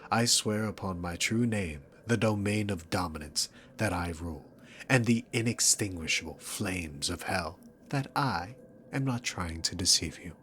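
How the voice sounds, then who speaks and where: A man's voice: low-pitched, measured pace, a bit bright, a little hiss or hum. A man voicing a dominant, sarcastic demon king in an ASMR roleplay, taunting the listener with theatrical, arrogant condescension. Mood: mocking reassurance.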